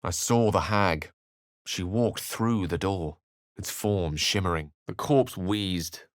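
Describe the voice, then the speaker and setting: A learned wizard from a fantasy game, speaking in a lowish register. He is articulate and erudite, with a theatrical turn to his phrasing, and the recording is close and dry. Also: slow pace, no room sound. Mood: hushed, ominous. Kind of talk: video game character acting and narration.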